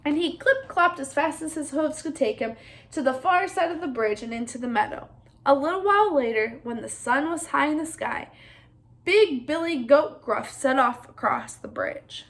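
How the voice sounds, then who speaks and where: A woman's voice: high-pitched, expressive, moderate pace, fairly bright tone. A woman reading a storybook aloud to children in an interactive narrating style.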